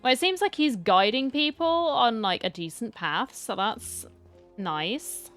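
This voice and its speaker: A woman's voice: on the high side, animated, unhurried, fairly bright tone. A woman doing casual let's-play commentary, chatty and talking back to the game. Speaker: gamer, streamer. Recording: game music behind the voice.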